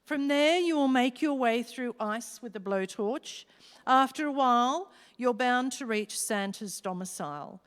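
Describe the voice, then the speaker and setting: A woman playing a commanding devil who presides over fiends in a rhyming radio play, speaking deliberately and theatrically. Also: on the high side, clear, resonant tone, natural voice. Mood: grand, instructing. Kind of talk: staged radio play acting. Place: live stage in a hall. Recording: echoey hall with long reverb.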